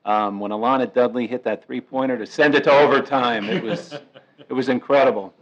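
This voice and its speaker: A man serving as committeeman, cheerfully presenting awards at a township meeting in a loud, chatty voice. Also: medium pitch, steady tone, fairly quick, dull audio. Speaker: committeeman and recreation director.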